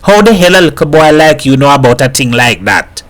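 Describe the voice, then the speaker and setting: A man doing gruff, teasing Hat. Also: mid-range voice, very animated, brisk, fairly bright tone.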